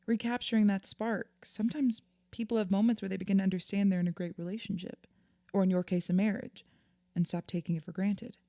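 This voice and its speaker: Woman playing a calm patient therapist, soft dark mellow voice, measured and reassuring, no room sound at all, audio drama. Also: mid-range voice, dry recording, studio quality, lightly acted. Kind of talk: audio drama acting, conversational.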